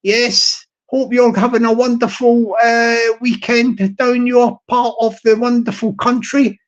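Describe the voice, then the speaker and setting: A man's voice: very high-pitched, steady tone, measured pace, lo-fi sound. A man chatting casually on his live stream, rambling and chuckling, repeating words and restarting his sentences as he greets viewers. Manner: hearty, upbeat.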